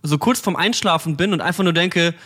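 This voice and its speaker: A young man co-hosting a casual podcast, dropping deadpan, ironic jokes and laughing along. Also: on the high side, a little fast, slightly rough. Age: young adult. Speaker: podcast co-host. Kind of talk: casual chat.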